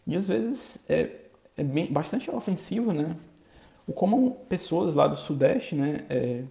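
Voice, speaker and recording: A man from Bahia with a Bahian accent, hosting a podcast in a halting, casual monologue full of filler tags. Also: fairly high pitch, very animated, creaky, warm tone. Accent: Bahian Brazilian Portuguese. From Bahia, Brazil. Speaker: podcast host. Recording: echoey room.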